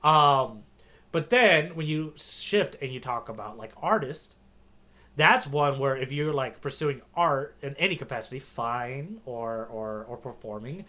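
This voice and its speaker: A man's voice: medium pitch, lively intonation, a little slow, sharp tone. An American man co-hosting a podcast in a casual, conversational way, often checking in with his listener. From United States. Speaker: podcast co-host. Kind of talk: casual chat.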